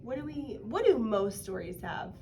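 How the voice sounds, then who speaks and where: A woman's voice: on the high side, very animated, normal speed, darkish tone, slight background noise. A woman teaching a casual one-on-one writing lesson, encouraging and guiding with questions. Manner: encouraging, explains by prompting with questions.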